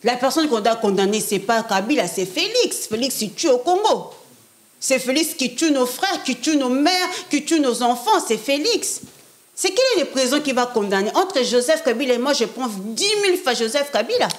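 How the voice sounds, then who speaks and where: A woman's voice: high voice, lively intonation, moderate pace. A young woman from France, a prophetess guest in a casual interview, talking emphatically and repeating phrases for stress. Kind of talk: casual interview talk, French mixed with Lingala.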